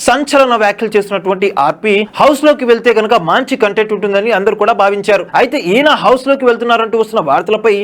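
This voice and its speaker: A man reads scripted entertainment news in a voice-over. His voice is very high for a man. He goes at an extremely fast pace from one sentence to the next.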